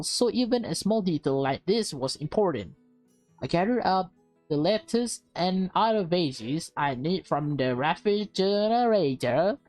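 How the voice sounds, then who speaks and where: A woman's voice: low voice, a little mellow, no room sound. A woman hosting a let's play, reading a visual novel aloud with chatty asides to viewers. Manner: chatty, addresses viewers directly.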